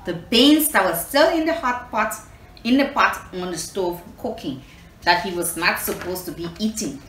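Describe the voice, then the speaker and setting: A woman reading a children's storybook aloud expressively, pausing to explain and put questions to her young listeners. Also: mid-range voice, expressive, a bit bright. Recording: echoey room, distant mic, faint noise.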